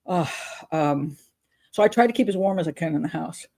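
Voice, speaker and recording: A woman's voice: on the low side, animated, brisk, vocal fry, warm tone. An older woman hosting a casual advice vlog, talking in a chatty, candid, rambling and self-deprecating way. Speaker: advice show host on YouTube.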